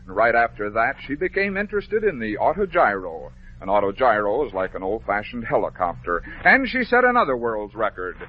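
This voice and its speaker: An American man narrating a scripted story for children as a 1950s radio host, phrasing it clearly and dramatically. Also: fairly low pitch, very animated, brisk, hardly any pauses. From American Midwest. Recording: dull, noisy vintage 1950s radio recording.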